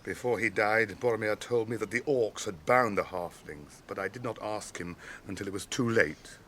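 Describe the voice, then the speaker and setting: An actor playing a noble, grieving ranger leader in a radio drama, speaking in a grave, measured, theatrical way. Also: male voice, fairly low pitch, fairly bright tone, slight background noise, close to an everyday voice. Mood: grieving, self-reproachful. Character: noble, grieving male ranger leader, weary and self-blaming.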